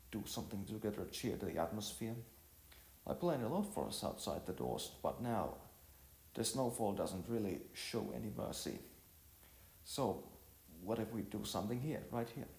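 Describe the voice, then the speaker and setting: A man's voice: lowish voice, expressive, normal speed, darkish tone. A man voicing a friendly, playful young woman with a coaxing, hesitant manner in a storybook. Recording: roomy echo with music behind.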